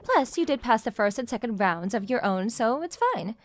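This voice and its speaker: A woman's voice: a little high, expressive, moderate pace, no room sound, soft background music, natural voice. A young woman playing a confident, pushy female CEO who teases her junior in a manga dub romance. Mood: confident, teasing. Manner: straightforward, teasing, self-assured.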